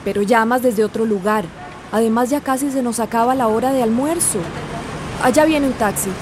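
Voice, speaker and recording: A woman acting as a wary, fretting friend in a radio soap. Her voice is high, set against clearly audible traffic and ambient noise. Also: normal speed, natural voice. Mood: worried. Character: cautious, worried friend who mixes in English. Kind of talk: radio drama dialogue.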